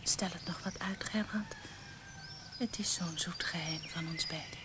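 A woman's voice: lowish voice, fairly even intonation, measured pace, in a whisper, lightly acted. An actress playing a frank, devoted young woman in a radio play, teasing and affectionate. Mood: intimate, loving. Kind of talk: Dutch radio play acting. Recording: noisy old radio play recording.

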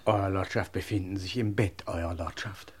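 An actor playing a formal, discreet English manservant in a German radio crime play, deferential and measured. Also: male voice, sits low, fairly even intonation, husky.

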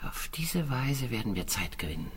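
A woman's voice: very deep voice, very animated, moderate pace, slightly rough, exaggerated voice. A woman playing a refined, composed yet eager widowed Madame on an Egyptian expedition in a German radio play, with a courteous, theatrical delivery. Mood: conspiratorial, composed. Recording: radio drama with faint music.

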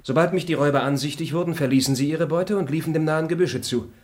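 An actor voicing a composed, fair-minded gentleman traveler in a radio play, speaking in a measured, formal and articulate way. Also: a little high, a little hiss or hum, lightly acted. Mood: calm. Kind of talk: German radio play acting.

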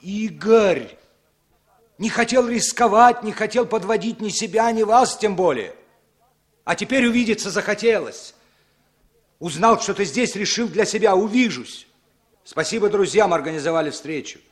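A man's voice: high voice, lively intonation, moderate pace. An actor playing an evasive, bitter long-lost older brother in a 1960s Soviet radio drama, emotional, repeating his words. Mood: defensive, pleading.

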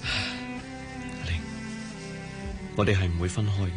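An actor with a Hong Kong Cantonese accent playing a devoted, sweet-talking young fiancé in a radio drama. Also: male voice, deep voice, steady tone, normal speed, over music, natural voice. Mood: solemn, loving.

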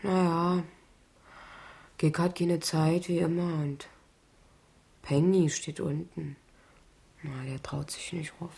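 Casual teen school friend in a radio play, low voice, bit breathy. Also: female voice, level tone, takes it slowly, pauses often, darkish tone, full character voice. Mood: casual, subdued. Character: casual teenage schoolmate visiting in hospital. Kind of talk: German radio drama acting.

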